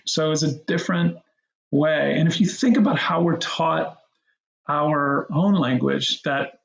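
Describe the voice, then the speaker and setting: Middle-aged male guest, rambling and gravelly, recorded in a roomy space. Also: a little high, unhurried, highs cut off. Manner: rambling, animated, many restarts and fillers. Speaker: guest, co-founder of a language app. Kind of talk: casual interview talk.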